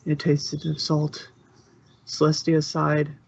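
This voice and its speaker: A woman's voice: low voice, completely flat delivery, takes it slowly, vocal fry, husky. A woman reading scripted story narration into a close microphone. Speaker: fan fiction narrator. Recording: slightly dull, close dry recording.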